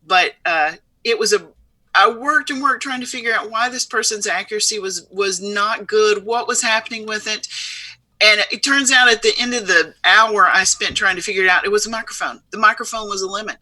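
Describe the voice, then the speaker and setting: Very bright-voiced woman, dry sound. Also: mid-range voice, brisk, no echo. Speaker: interview guest, software company CEO. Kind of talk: conversational live interview.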